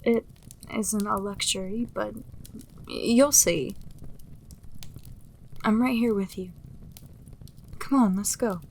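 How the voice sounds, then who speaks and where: A woman playing a caring, protective friend with soft delivery in a fantasy audio drama. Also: mid-range voice, slow pace, creaky, pauses often, slight background noise. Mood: concerned, gentle.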